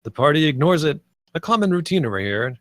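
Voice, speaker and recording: A man's voice: a little high, sing-song, quick pace, dry recording, clean studio sound. A man reading scripted stage directions as the narrator of a screenplay table read.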